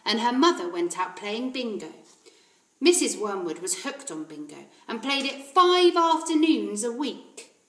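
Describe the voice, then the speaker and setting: A woman, a teacher reading a children's story aloud in an expressive narrating style. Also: mid-range voice. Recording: roomy echo.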